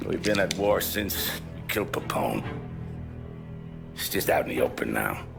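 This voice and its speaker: An actor playing a ruthless, hot-tempered mob boss in a game cutscene, menacing and prone to explosive shouting. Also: male voice, extremely low pitch, sing-song, moderate pace, gravelly, exaggerated voice. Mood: furious. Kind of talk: video game cutscene acting. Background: background music.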